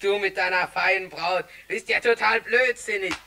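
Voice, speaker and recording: A woman's voice: mid-range voice, normal speed, pushed and bright. An actress playing a sullen, insolent bully boy who sneers in slang in a 1960s radio play. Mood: surly, threatening. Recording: faintly noisy, clicking vintage record.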